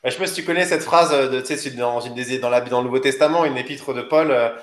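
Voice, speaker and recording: A man's voice: medium pitch, fast. A man co-hosting a casual live discussion, feeling his way through points with hesitant, rambling phrasing. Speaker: co-host of a live discussion show. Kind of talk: casual live interview chat. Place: indoors, sitting beside co-host. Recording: roomy, echoing live-stream sound.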